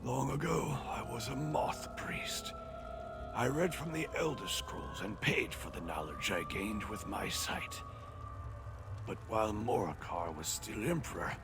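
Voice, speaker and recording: An actor playing a mad, blind old prisoner, a sorrowful former priest in a fantasy video game, speaking breathily and haltingly in formal, archaic phrases. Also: male voice, on the low side. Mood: haunted, sorrowful. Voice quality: breathy, gravelly, wavering. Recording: game music under the voice.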